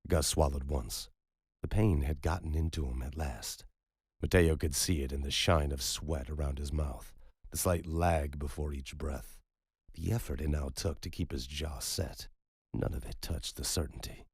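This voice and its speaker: A man narrating a story in a measured, unhurried storytelling manner. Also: rumbling low, darkish tone, slightly rough, no room sound, studio quality. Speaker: audiobook narrator. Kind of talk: scripted narration.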